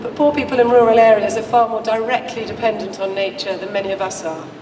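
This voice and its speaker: A woman with a croaky, strained voice gives a measured conference talk, explaining points step by step. She is picked up from far off in a large, echoing hall, with a long reverb tail and clear background noise. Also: mid-range voice, steady tone. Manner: measured, explanatory, with occasional restarts. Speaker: researcher giving a conference talk. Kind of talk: conference presentation.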